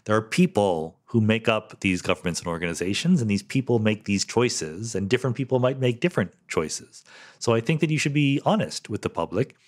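A man's voice: on the low side, lively intonation, fairly quick. A middle-aged man hosting a solo podcast, reading listener questions and answering them in a thoughtful, conversational way with fillers and restarts.

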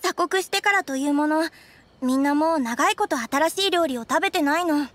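A woman playing a friendly, enthusiastic young restaurant keeper in an anime game, chirpy and expressive. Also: high voice, normal speed. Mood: excited, grateful. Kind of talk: anime game character acting.

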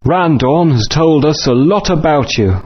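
A man voicing a calm, solemn dinosaur tribesman in a video game, measured and formal. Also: fairly high pitch, husky. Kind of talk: video game character acting. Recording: dull lo-fi game audio.

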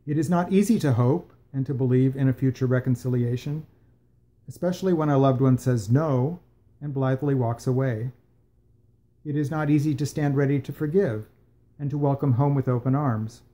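A man in his sixties reading a reflective piece aloud for a worship service, with measured, expressive phrasing and deliberate pauses. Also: mid-range voice. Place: small room at home, indoors.

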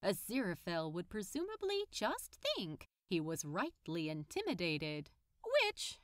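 A woman narrates fiction in an expressive audiobook style. Her voice is bright and lively in pitch, with an unhurried pace. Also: dry recording, studio quality.

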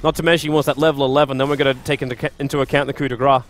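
A young man calling esports play-by-play in a rapid, excitable way. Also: mid-range voice, slight background noise.

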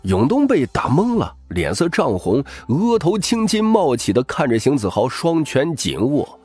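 A man's voice: on the high side, wildly swooping pitch, a little slow, darkish tone, husky, soft background music. A man reading scripted, dramatic narration as an audiobook narrator.

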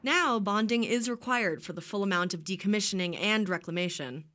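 Woman news reporter, scripted voice-over, measured and even. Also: medium pitch.

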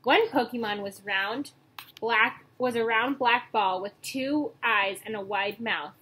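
A young woman reading a children's book aloud in a storytelling style, acting out dialogue and pausing to point out pictures. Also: fairly high pitch, pushed and bright. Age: young adult. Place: small room at home, indoors. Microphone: webcam or laptop mic at arm's length. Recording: noisy home webcam recording.

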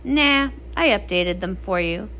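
A woman acts a cool, practical female pony from a comic story. The delivery is dry and matter-of-fact, with a varied pitch that often drops lower. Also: lively intonation, measured pace, fairly bright tone. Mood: dry, serious.

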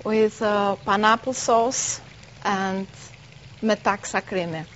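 A middle-aged woman cooks live in a TV studio kitchen, speaking slowly and stopping to find her words. The broadcast sound is dull and muffled, with a faint hiss underneath. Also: medium pitch, steady tone. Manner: halting, searching for words while cooking. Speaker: restaurant chef. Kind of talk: live TV cooking demonstration.

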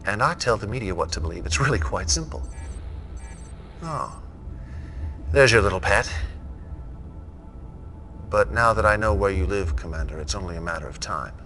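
An actor playing a smug, menacing villain leader in a game cutscene, speaking with smooth, deliberate mockery. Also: male voice, fairly low pitch, lively intonation, pushed and bright. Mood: smug, taunting. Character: smug, menacing middle-aged male villain leader taunting his enemy. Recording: music under the voice.

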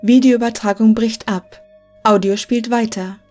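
A woman's voice: a little high, fairly even intonation, unhurried, dark tone, faint music behind. A woman reading scripted clinical logs as a narrator, formal and matter-of-fact.

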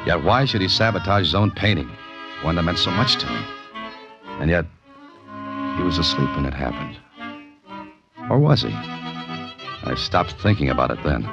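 An actor playing a cool, wisecracking amateur sleuth in a 1940s radio mystery, with a deadpan, clipped delivery. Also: male voice, deep voice, normal speed, creaky, long pauses. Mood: cool, pensive. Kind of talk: 1940s radio mystery acting, first-person narration. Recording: muffled old radio recording with music.

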